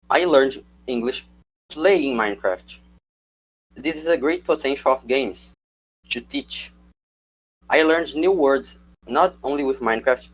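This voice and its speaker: A man's voice: medium pitch, rough recording. A young man whose first language is Portuguese, reading a prepared slide presentation and asking for the next slide. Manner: reads prepared script, calls for slides. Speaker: student presenter.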